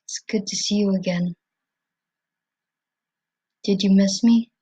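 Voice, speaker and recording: A woman voicing a calm, kindly skeleton father who speaks in a soothing, reassuring way. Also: medium pitch, slow, breathy edge, pitched below the performer's own voice.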